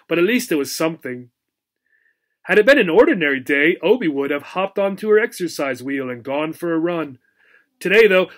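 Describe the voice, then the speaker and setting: A man narrating a children's story as an audiobook reader, in an expressive storytelling manner. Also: fairly high pitch.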